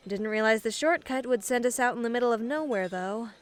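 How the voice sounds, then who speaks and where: A woman's voice: a little high, fairly quick, close to an everyday voice. A woman playing a mysterious, skeptical female adventurer in a dry, guarded way. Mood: dry, subdued.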